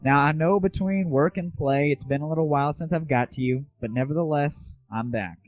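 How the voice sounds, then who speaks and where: A man's voice: a little high, a little fast, no echo. Tinny narrowband audio, dry, man, show host, casual monologue, cheeky, slangy, crude.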